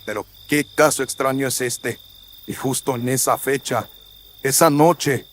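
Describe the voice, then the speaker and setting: A man's voice: mid-range voice, steady tone, a little slow, a little hiss or hum, voice pushed up, lightly acted. A man playing an authoritative colonial viceroy in a horror radio drama, with a grave, formal and dramatic delivery. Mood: puzzled, grave.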